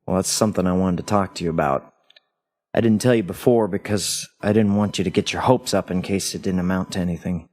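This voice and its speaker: A man's voice: low voice, fairly even intonation, a little fast, darkish tone. A man acting a loving, wistful and frightened husband in an audio play, speaking in a sentimental, hesitant way. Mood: tender, earnest. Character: recently deceased loving husband, tender, wistful, scared.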